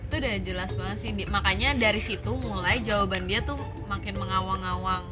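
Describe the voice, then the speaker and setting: A woman's voice: medium pitch, fairly even intonation, fairly quick. A woman hosts a casual, gossipy reaction commentary, retelling what others said. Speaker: host of a reaction commentary show. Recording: music behind the voice. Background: music.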